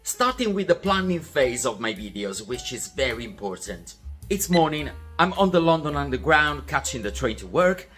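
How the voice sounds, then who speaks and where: Man, young adult, animated, friendly and methodical, scripted vlog voiceover. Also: mid-range voice, moderate pace, faint music behind. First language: Italian. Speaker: vlog host.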